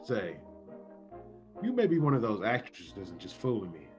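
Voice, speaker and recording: A man's voice: on the low side, wildly swooping pitch, moderate pace. An actor playing a tough, slangy, streetwise man in an old-time radio drama. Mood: softened. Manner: slangy tough-guy talk. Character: tough, suspicious streetwise man with a soft streak. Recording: dull sound with music.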